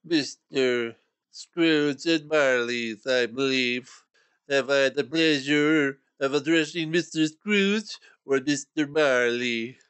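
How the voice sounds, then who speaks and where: A man voicing a polite middle-aged gentleman collecting for charity, formal and courteous. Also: medium pitch, takes it slowly, clean tone, dry recording. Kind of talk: storybook reading.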